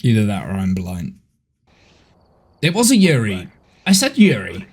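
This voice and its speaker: A man's voice: on the low side, wildly swooping pitch, unhurried, slightly rough. A man in his thirties with a head cold, chatting casually and rambling as he streams a horror game from home. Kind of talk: casual gameplay chat on a live stream.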